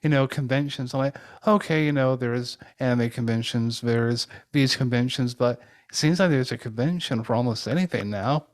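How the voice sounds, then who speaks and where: Very low-voiced woman, casual host. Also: normal speed, few pauses, a little mellow. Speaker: podcast host. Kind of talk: casual interview chat.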